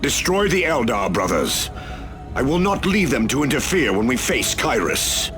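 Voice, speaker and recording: An actor playing a betrayed, resolute veteran warrior leader in a game cutscene, hard and commanding. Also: male voice, medium pitch, big pitch swings, moderate pace, over music, close to an everyday voice.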